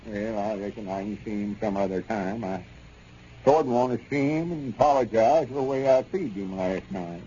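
An actor playing a stubborn, earnest small-town Southern businessman with a halting, folksy manner in 1930s radio comedy. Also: lowish voice, warm tone, natural voice. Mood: contrite. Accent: rural Southern US. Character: proud, stubborn, conscientious small-town country businessman, a man. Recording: dull, noisy 1930s radio recording.

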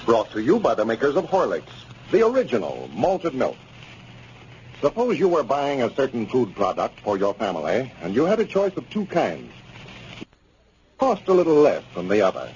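A man's voice: medium pitch, huge pitch swings, normal speed, darkish tone. A male radio announcer in 1930s style, speaking emphatically and with careful enunciation. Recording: muffled, noisy 1930s radio recording.

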